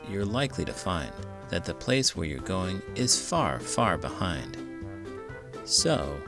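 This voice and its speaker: A man hosting a children's storybook read-aloud, theatrical and full of big emotion, stretching words for effect. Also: on the low side. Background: music.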